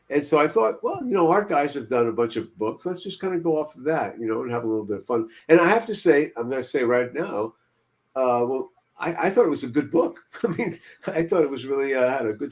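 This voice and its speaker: An older man of about seventy co-hosting a casual panel chat over a video call, rambling and repeating himself. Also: mid-range voice. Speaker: podcast co-host. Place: room at home, indoors.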